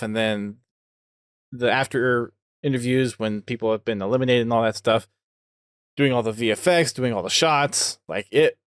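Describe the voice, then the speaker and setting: A man with a mid-pitched voice hosts a podcast in a casual, conversational style. The sound is dry, with hardly any room on it. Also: clear, resonant tone, dry recording. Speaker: podcast host and video producer.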